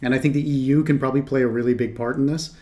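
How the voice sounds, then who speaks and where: A man's voice: mid-range voice, steady tone, very fast, dark tone. A man from Canada reacting to a video, casual, thoughtful and a bit rambling with hesitations.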